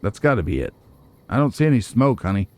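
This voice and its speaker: A man hosting a let's play with casual, musing commentary, as if thinking out loud to himself. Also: lowish voice, big pitch swings, quick pace. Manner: musing, nitpicking, talks to himself.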